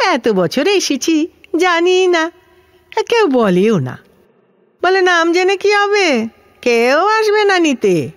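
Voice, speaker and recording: An older woman playing a frail, lonely former housemaid in a Bengali audio drama, rambling in homely Kolkata Bengali with a plaintive manner. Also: a little high. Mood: aggrieved, indignant. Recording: music behind the voice.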